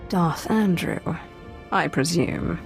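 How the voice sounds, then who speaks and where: A woman's voice: lowish voice, animated, takes it slowly, gravelly, soft background music, heavily acted. An actress playing a cool, dry-witted female Sith inquisitor in a video game, composed and dryly polite. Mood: dry, unruffled. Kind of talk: dramatic video game acting.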